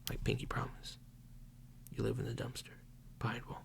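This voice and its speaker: A man whispering a playful mock police interrogation as an ASMR roleplay, slow and teasingly accusing. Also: mid-range voice, monotone, gravelly.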